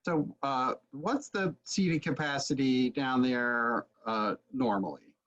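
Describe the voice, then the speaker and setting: A man in his forties asks casual, conversational questions over a video call. His voice is mid-pitched and his delivery halting, restarting as he goes. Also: a bit bright, muffled recording. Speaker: interviewer. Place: book-lined room at home.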